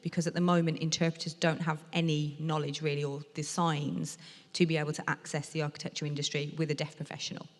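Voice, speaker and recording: A low-pitched woman interprets a lecture into speech, measured and explanatory, pausing on the odd filler. Her voice carries with a long reverb from a lecture hall at a museum event. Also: little pitch movement. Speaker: sign language interpreter voicing a deaf speaker. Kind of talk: interpreting a design talk aloud.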